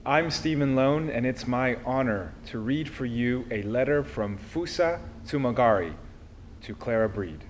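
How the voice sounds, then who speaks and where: A man reading a personal letter aloud expressively, with deliberate phrasing and pauses. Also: medium pitch, lively intonation. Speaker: reader of a historical letter.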